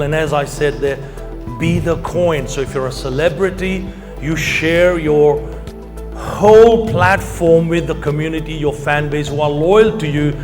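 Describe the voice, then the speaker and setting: A middle-aged man from Kenya giving an informal, emphatic pitch to an audience as a company founder. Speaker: company founder and keynote speaker. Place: large hall, speaking to an audience. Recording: long hall reverb, music behind. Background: music.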